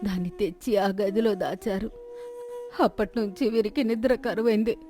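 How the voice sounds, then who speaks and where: A woman's voice: mid-range voice, steady tone, moderate pace, mellow, faint music behind, lightly acted. A woman voicing a distressed village wife in a moral story, pleading and complaining about her troubles. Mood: tearful pleading.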